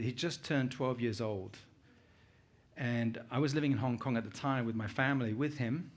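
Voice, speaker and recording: A middle-aged British man presents to a conference audience in a conversational way. His voice sits at a medium pitch, and he talks informally, like someone sharing personal stories and putting questions to the room. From United Kingdom, born in Uganda. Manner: conversational, anecdotal, puts questions to listeners. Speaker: guest conference speaker.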